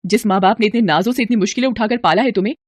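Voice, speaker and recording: A woman voicing an emphatic young married daughter-in-law with a quick temper in an animated storybook tale. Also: medium pitch, no echo, close to an everyday voice. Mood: furious scolding.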